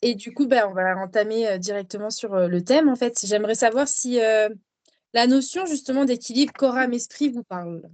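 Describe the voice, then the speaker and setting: A woman's voice: a little high, normal speed, dry recording. A woman hosting an informal conversation, putting questions to her guests in a casual chatty style. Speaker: host, interviewer. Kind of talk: casual chat.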